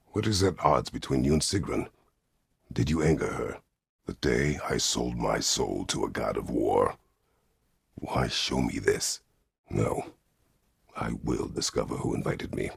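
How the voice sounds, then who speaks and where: An actor playing a stern, weary, middle-aged Greek war god in a video game, speaking tersely and formally with a gravelly, husky voice. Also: male voice, very deep voice, dry recording, studio quality, full character voice. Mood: firm, demanding. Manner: terse, grave, formal phrasing without contractions. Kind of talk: dramatic video game voice acting.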